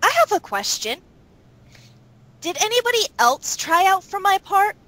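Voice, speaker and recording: High-pitched woman in casual conversation. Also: sing-song, moderate pace, fairly bright tone, a little hiss or hum, poor audio. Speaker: voice actor and series co-creator. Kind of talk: casual chat.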